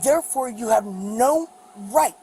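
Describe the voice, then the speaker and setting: An American woman arguing with police in an emphatic, deliberate way as a driver at a stop. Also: fairly high pitch, expressive, dark tone. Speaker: driver being arrested. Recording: faintly noisy outdoor bodycam audio.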